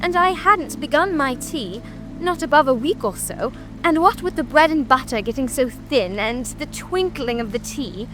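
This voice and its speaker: A woman's voice: high-pitched, expressive, moderate pace, music in the background. A woman voicing a poor, humble, muddled hatter who pleads anxiously in a storybook dramatization. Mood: nervous pleading. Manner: trembling, anxious. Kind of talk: dramatized audiobook.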